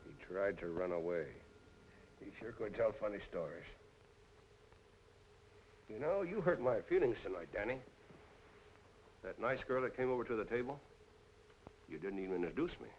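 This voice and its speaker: An actor playing a sly, menacing crime boss in terse film noir style, on an old soundtrack. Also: male voice, fairly low pitch, big pitch swings, dull audio, a little hiss or hum. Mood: quietly menacing. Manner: terse, slow-burning veiled threats. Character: menacing crime boss, soft-spoken, falsely hurt and sly. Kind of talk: mid-century film noir acting.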